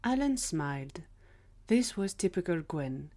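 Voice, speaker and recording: Low-pitched woman narrating an audio story. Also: big pitch swings, unhurried, warm tone. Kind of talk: scripted audio drama narration.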